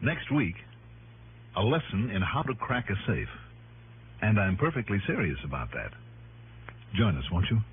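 An American actor playing a tough insurance investigator in a 1960s radio detective drama, clipped and hard-boiled. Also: male voice, on the low side, big pitch swings, normal speed, long pauses, slight background noise. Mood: wry, confident. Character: tough male insurance investigator narrating his case. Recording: old narrow-band radio recording, music behind.

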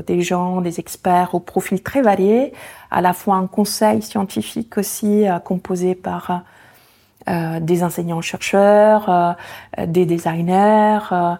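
Invitée d'interview, femme de 40 ans, accent italien, timbre sombre et feutré, réfléchie et hésitante. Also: female voice, fairly low pitch, vocal fry, warm tone. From Italy. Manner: reflective, many hesitations with euh. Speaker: guest, founder of a language association. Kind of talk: conversational interview.

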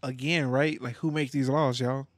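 Young Texan man, podcast host, easygoing and profane, mid pitch, dry recording. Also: steady tone, normal speed, dry recording. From Houston, Texas. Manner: laid-back, swears freely, laughs often. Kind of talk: casual chat.

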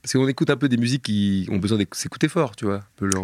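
A man hosting a podcast, chatting casually, laughing often and quick with short agreements. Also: lowish voice, a little mellow, slight background noise. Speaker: podcast host. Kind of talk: casual interview chat.

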